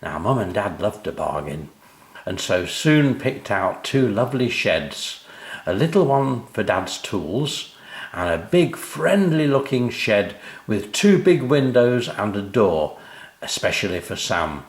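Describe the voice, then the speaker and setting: An older British man narrates a children's story. He reads at a slow, measured pace with lots of rise and fall in his expressive delivery. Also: lowish voice, roomy sound.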